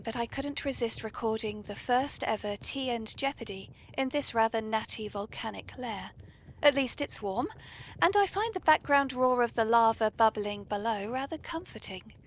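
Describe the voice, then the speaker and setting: Podcast hostess: British woman, higher register, breathy and husky, playfully polite and genteel. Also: normal speed, poor audio. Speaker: podcast host. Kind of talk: whimsical in-character hosting and polite conversation.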